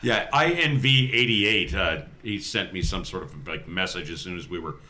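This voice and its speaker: A man's voice: low voice, normal speed, bright, forceful tone. A man chatting casually as a player on a tabletop roleplaying podcast. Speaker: player on an actual-play podcast. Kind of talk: casual tabletop game chat.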